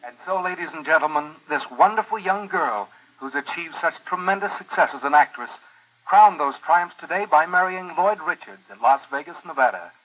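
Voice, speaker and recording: An actor playing a gushing gossip columnist on the air, in a breathless broadcaster's delivery, in a 1940s radio drama. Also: male voice, on the high side, animated, a little fast, faint music behind. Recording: muffled old radio, radio-within-radio.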